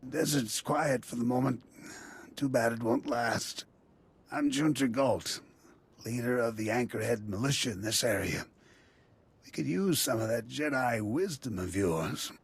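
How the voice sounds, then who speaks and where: Husky militia commander. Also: male voice, medium pitch. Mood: grim, respectful. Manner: commanding, plainspoken. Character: battle-seasoned, dour male desert militia leader. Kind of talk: video game dialogue.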